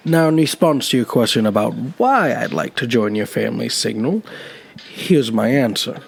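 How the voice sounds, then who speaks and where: An actor playing a lonely elderly man who was once homeless, with a hesitant, gentle, wry way of talking in an audio drama. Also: mid-range voice, vocal fry, a little hiss or hum. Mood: thoughtful. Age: older. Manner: hesitant, reflective. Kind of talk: naturalistic audio drama dialogue.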